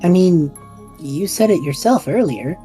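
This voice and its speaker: A woman's voice: fairly low pitch, animated, unhurried, dark tone, soft background music. A woman playing a bewildered but supportive young man in an audio drama, casual, hesitant and wry.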